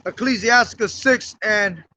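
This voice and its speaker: High, bright-voiced man preaching on the street. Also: slight background noise. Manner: emphatic, calls listeners "man", reads scripture slowly. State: hoarse from days of yelling. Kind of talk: street sermon with scripture reading. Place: outdoors on a street.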